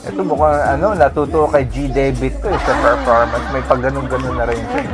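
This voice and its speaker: A man's voice: medium pitch, fairly quick, a crowd in the background. A Filipino man in casual, joking reaction chat as a fan commentator. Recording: loud music behind the talk. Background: concert music.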